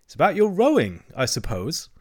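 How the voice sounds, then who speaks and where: A man's voice: fairly high pitch, wildly swooping pitch, normal speed, darkish tone, pitched above the performer's own voice. Man playing a stubborn, sensible storybook water rat. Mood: feigned innocence. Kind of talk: storybook character acting.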